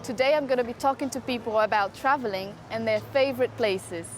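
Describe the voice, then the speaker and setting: A woman from Brazil, now living in London, who presents and asks people questions about travel in a friendly, clear way. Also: on the high side, a little fast, a little hiss or hum. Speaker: street interviewer. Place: London cafe and streets.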